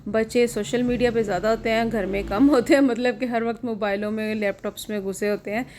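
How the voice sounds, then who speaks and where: A middle-aged woman from Pakistan talks through a casual home vlog in her kitchen, chatty and homely as she explains things to her viewers. Her throat is sore, so her voice roughens now and then. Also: fairly high pitch, fairly even intonation, fairly quick, darkish tone, slight background noise. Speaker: vlogger.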